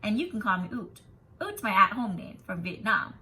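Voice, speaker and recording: A woman voicing a gentle, earnest young immigrant girl in a storybook, in simple halting phrases. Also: medium pitch, big pitch swings, slight background noise. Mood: proud.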